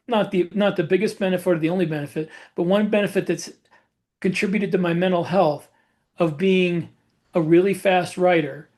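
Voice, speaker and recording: A man's voice: high-pitched, a little mellow. A man chatting casually as a podcast host, giving advice in a matter-of-fact way. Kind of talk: casual advice chat about book publishing.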